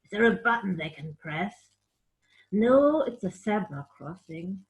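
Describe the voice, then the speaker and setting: A woman reads a story for children at an unhurried pace. She is expressive and animated, and pauses to explain things and chat playfully with a puppet. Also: mid-range voice, low-quality recording. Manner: animated, explanatory, makes playful sound effects.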